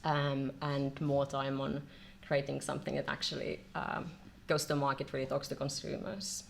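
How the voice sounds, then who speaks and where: A middle-aged woman from Finland, a company director being interviewed, speaking in a measured way with many "um"s between phrases. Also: a bass voice, level tone, creaky, slight background noise. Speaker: marketing and e-commerce director, guest. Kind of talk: casual interview.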